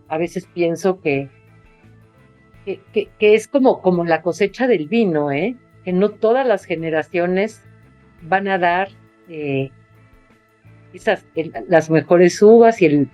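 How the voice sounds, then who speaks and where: Low-voiced woman writer on a video call. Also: a little mellow, dry recording. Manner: hesitant, many fillers and restarts. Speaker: writer being interviewed. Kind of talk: casual conversation.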